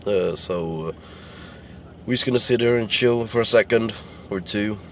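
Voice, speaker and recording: A tired young man with a hoarse, worn-out voice, chatting casually to the camera in a rambling, halting way. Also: lowish voice, a little hiss or hum. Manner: rambling, halting with many fillers. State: hoarse, lost voice. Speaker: vlogger. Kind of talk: casual vlog chat. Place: in a car.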